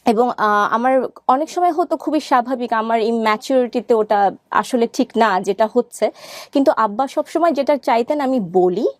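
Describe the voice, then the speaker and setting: A woman's voice: a little high, moderate pace, dry recording, clean studio sound. A woman in her thirties with a Bangladeshi accent, telling personal stories as an interview guest in an articulate, reflective way, mixing in English words. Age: 30s.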